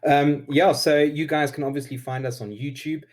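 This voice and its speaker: Relaxed South African man, Afrikaans native. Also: fairly high pitch, moderate pace. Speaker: guest. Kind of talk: casual interview chat.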